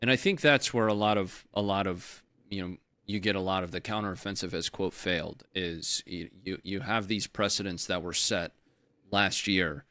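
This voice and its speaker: A man with a fairly low voice hosts an interview, working through his thoughts in halting, restarting phrases. The recording is dry and close, with no room sound. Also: sharp tone, no room sound. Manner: halting, many "you know" and restarts. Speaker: interview host. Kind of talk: casual interview talk.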